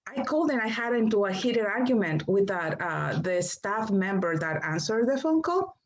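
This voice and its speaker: A woman with a Spanish accent, a native Spanish speaker, calling in to a talk show and speaking haltingly with many restarts. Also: mid-range voice, animated, slight background noise. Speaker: caller. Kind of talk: casual call-in chat. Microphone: phone line. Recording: dull lo-fi phone call.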